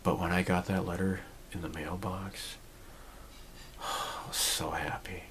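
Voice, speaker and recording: A man's voice: low voice, level tone, slow, fairly bright tone, slight background noise. A man in his forties talking casually to camera, rambling and reflective, with many fillers and restarts. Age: 40s. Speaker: channel host. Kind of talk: casual personal vlog talk.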